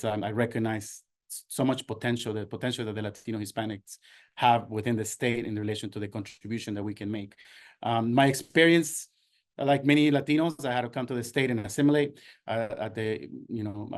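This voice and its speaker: A man in his forties from Ecuador leads a workshop presentation. His voice sits at a middle pitch, neither high nor low. He speaks earnestly, with fillers and restarts as he works through his points. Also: a little mellow. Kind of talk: presentation talk.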